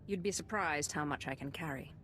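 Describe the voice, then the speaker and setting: A woman's voice: sits low, fairly quick, a bit bright, slight background noise. An actress playing a cocky, confident warrior noblewoman in a video game. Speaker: video game player character. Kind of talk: scripted game dialogue.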